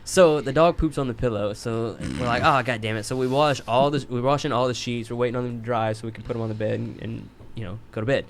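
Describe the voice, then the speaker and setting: A man's voice: medium pitch, steady tone, fast, hardly any pauses, slight background noise. Man, casual podcast storytelling, rambling, swears a lot. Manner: rambling, profane, self-mocking. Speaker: podcast co-host telling a story.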